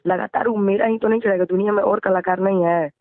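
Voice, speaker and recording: A woman's voice: medium pitch, steady tone, fast talker, dry recording. A woman with a Bhojpuri accent arguing heatedly on the phone, indignant and insistent, often repeating her phrases. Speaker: singer. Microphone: phone line. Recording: tinny phone call recording.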